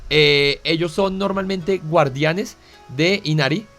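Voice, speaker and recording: A man's voice: fairly high pitch, slow, a bit bright, soft background music. A man with a Colombian accent, co-hosting an anime talk show in a casual, chatty way, full of fillers and tag questions. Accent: Colombian Spanish. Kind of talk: casual explanatory chat.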